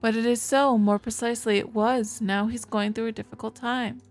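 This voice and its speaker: Casting: woman playing an earnest, distressed young wife for a visual novel, high-ish voice with fry, music underneath. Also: fairly even intonation, moderate pace, close to an everyday voice. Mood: earnest, explaining. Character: distressed, insistent young wife denying her husband. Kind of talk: visual novel dialogue read aloud.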